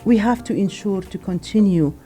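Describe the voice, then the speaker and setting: Earnest mellow-voiced woman from Syria. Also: medium pitch, normal speed, soft background music. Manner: earnest, reflective, many "you know" fillers. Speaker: guest. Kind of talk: casual radio chat.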